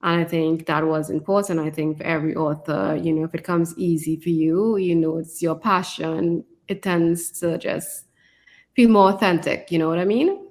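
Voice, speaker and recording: A woman's voice: on the low side, fairly even intonation, normal speed, a little mellow. A woman talking conversationally as an author and co-host, in a reflective way and often adding "you know". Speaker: fantasy author and podcast co-host.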